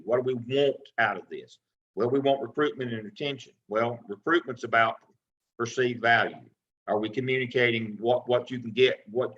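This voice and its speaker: Male professor, bright forceful voice, folksy feedback, repeats himself, slightly dull call audio. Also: mid-range voice. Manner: folksy, repeats words as he restarts. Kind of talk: giving feedback in an online class. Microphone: video call.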